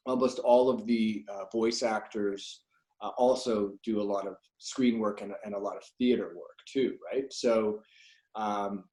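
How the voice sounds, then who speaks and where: A man's voice: lowish voice, darkish tone. A middle-aged man, reminiscing casually as a voice actor being interviewed. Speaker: guest, voice actor. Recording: echoey room sound.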